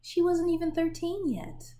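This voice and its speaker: A woman reading a children's picture book aloud in an expressive storytelling manner, pausing to show the pictures. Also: extremely high pitch. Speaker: storybook reader.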